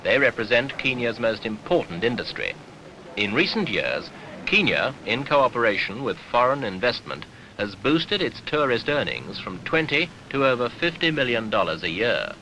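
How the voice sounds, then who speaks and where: A man narrating a 1970s documentary newsreel in a formal, measured, authoritative way, on an archival soundtrack. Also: medium pitch, big pitch swings, sharp tone, slight background noise, poor audio.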